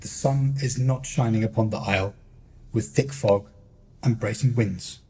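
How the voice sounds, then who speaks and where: A man's voice: lowish voice, measured pace, warm tone, slight background noise, rough recording. A man narrating a radio play in a theatrical, scripted style.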